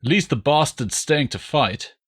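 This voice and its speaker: A man's voice: a little high, animated, normal speed, slightly rough, voice pushed up. A seasoned Roman general, played by a male audiobook reader. He is blunt and practical as he gives his commander advice. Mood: gruff amusement. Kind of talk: audiobook character voice.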